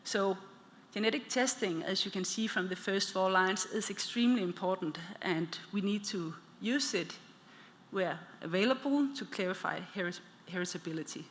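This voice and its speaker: A woman's voice: mid-range voice, moderate pace, fairly bright tone, slight background noise. Danish-accented woman presenting in a hall. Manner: plain, simplifying explanations for lay listeners. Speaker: clinical geneticist panelist. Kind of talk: conference presentation. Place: large conference hall. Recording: reverberant hall with faint ambience.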